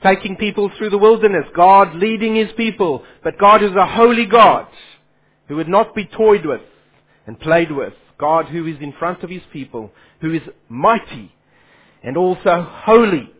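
A man's voice: high voice, expressive, moderate pace, breathy edge. A man preaching a Bible sermon, his Afrikaans, who poses questions to his listeners and then answers them. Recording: phone-like lo-fi sound.

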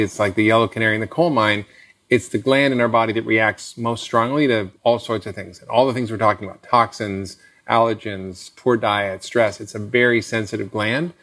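Fast-talking lower-voiced male host. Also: a bit bright. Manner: brisk, affirming, summarizing. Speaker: interview host. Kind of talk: interview conversation.

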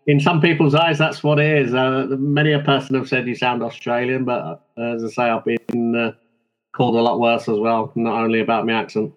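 An older man with a mixed London and Yorkshire accent, a former footballer chatting as a podcast guest, talking haltingly with many fillers. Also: mid-range voice, little pitch movement. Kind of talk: casual chat. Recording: dull lo-fi remote line.

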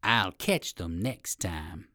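A storyteller voicing a sly, wicked owl with slow, drawn-out, sarcastic menace in a children's storybook. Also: medium pitch, very animated, a bit bright, no echo, clean studio sound. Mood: angry.

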